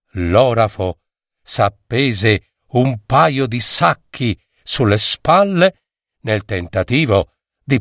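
A man narrating a fairy tale from a script with expressive, theatrical delivery. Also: a little high, dry recording, studio quality. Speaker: storyteller.

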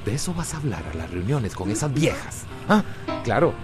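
A man's voice: medium pitch, sing-song, moderate pace, over music, lightly acted. A man with a Costa Rican accent playing a domineering, hot-tempered, jealous husband in a radio drama. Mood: angry, scornful.

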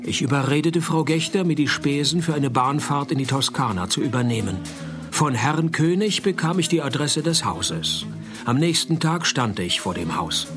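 A man's voice: medium pitch, normal speed, over music, natural voice. An actor playing a dry, sardonic private detective, narrating his case in a radio play. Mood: dry narration. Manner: deadpan, laconic, sardonic.

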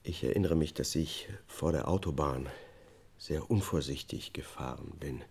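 An actor playing a haunted, detached murder defendant confessing in a radio drama. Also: male voice, very deep voice, moderate pace, gravelly, a little mellow, breathy edge, heavily acted. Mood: subdued, haunted. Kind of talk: German public radio drama acting, confessional testimony.

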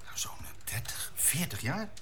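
An actor playing an officious air traffic control chief in a radio play. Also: male voice, a little high, very animated, unhurried, bright, forceful tone, in a whisper, full character voice. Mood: astonished, recalling.

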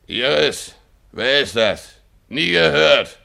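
An actor playing a drunken, rowdy sea captain who bawls sea chanteys and talks gruffly, in an old radio play. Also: male voice, mid-range voice, big pitch swings, slow, pushed and bright, exaggerated voice. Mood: bemused. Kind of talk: 1950s radio play acting.

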